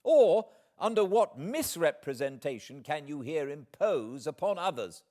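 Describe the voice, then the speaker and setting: A man voicing a spirited, indignant young English gentlewoman with an emphatic, articulate, accusing delivery in an audiobook. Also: fairly high pitch, sing-song, measured pace, voice pushed up. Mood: angry, challenging. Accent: British.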